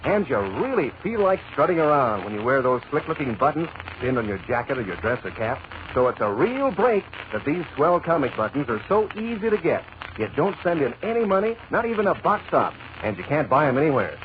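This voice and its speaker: A man's voice: fairly high pitch, sing-song, fairly quick. A man reading a folksy, chummy 1940s radio commercial with enthusiasm. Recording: muffled vintage radio, faint noise.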